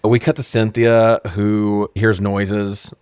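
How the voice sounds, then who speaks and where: A man in his forties with a fairly low voice talks like a co-host on a comedy review show. He is sarcastic and swears freely as he recaps in loose, casual chat. The sound is dry, with very little room in it. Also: little pitch movement, slow, darkish tone, smooth, no room sound. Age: 40s. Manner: profane, sarcastic. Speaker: podcast co-host reviewing films.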